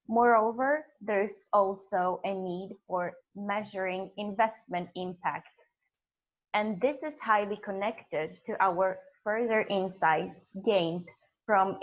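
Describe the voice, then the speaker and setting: Slow-reading woman, muffled recording. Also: mid-range voice. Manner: slow, reads findings with hesitations. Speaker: student presenter. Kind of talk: scripted project presentation.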